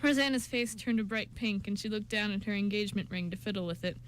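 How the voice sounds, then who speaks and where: A woman's voice: mid-range voice, fast talker, a little hiss or hum, low-quality recording. A woman reading scripted story narration for an amateur radio show, with an occasional stumble.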